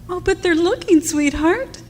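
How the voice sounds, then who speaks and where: A woman's voice: extremely high pitch, expressive, a little slow, darkish tone. A woman playing a sweet, gushing younger sweetheart in an old-time radio drama, coy and cooing. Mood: coy, adoring. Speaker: actress in a live radio play. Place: club hall stage. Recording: noisy reverberant hall.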